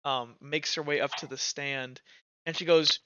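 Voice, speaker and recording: A man acting as game master, narrating a tabletop roleplay session in a casual, halting, informal way. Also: on the high side, fairly even intonation, dull audio.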